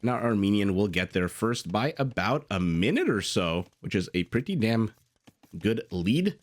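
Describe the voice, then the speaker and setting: Raspy, chatty male game caster. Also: lowish voice, unhurried. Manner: chatty, excitable, asides and exclamations. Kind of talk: strategy game match commentary. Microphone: desktop USB condenser mic.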